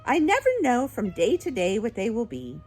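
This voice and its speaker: A woman voicing a tiny, ancient, capricious shopkeeper woman in a children's storybook, with a shrill little voice and an exclamatory manner. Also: huge pitch swings, normal speed, darkish tone, breathy edge, full character voice. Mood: musing. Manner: chattering, exclamatory, swinging from sweet to fierce.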